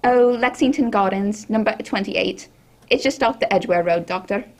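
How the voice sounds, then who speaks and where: A woman's voice: medium pitch, normal speed, a little mellow, lightly acted. A woman playing a kindly, deferential British housekeeper in a radio drama, homely and respectful. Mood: deferential, practical.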